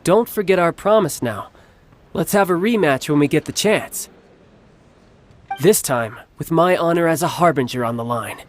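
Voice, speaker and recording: A man's voice: on the high side, animated, moderate pace, a little hiss or hum, close to an everyday voice. Man voicing a suave, lightish-voiced young warrior. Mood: confident, friendly. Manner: polished, smooth-talking, teasing. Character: suave young male harbinger warrior, playful, doting big brother. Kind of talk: English game dub acting. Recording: background music under the voice.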